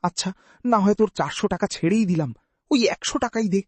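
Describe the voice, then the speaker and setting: A man's voice: high voice, brisk, darkish tone, husky, dry recording, voice pushed up. A man voicing a greedy, threatening male moneylender who bargains hard. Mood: grudging.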